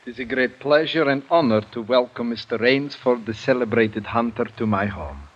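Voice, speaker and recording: An actor playing a suave aristocratic general, formal, gracious and coolly commanding, in an old-time radio drama. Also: male voice, medium pitch, animated, normal speed, lightly acted. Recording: dull, muffled vintage radio recording.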